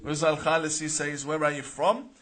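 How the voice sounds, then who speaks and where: A man's voice: on the high side, fairly even intonation, moderate pace, a bit bright. Emphatic man reading out live comments. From Iraq. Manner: reads viewer names then answers, with frequent uh and repeated words. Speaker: imam answering viewer questions.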